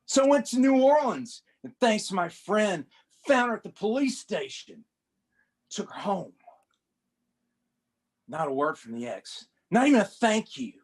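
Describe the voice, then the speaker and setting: A man reading as a husky young rookie cop. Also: sits high, big pitch swings, unhurried, long pauses, heavily acted. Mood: wry. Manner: plain conversational first-person reading. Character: young male rookie cop narrating his troubles. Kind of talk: dramatic reading of a comic.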